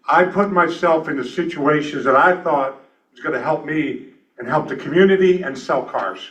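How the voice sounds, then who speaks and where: A man in his sixties hosting an event, talking to the audience in a brisk, folksy, self-deprecating way. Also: fairly high pitch, a bit bright, recorded from a distance, muffled recording. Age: 60s. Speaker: event host and speaker. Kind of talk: lively stage talk to an audience.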